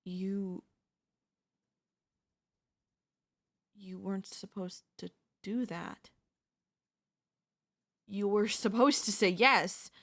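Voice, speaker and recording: A woman's voice: medium pitch, steady tone, slow, a bit bright. A young woman playing an obsessive, lovesick yandere neighbor in an intimate, intense audio roleplay. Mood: stunned, hurt. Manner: intimate, pleading, emotionally intense delivery. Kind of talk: ASMR audio roleplay acting.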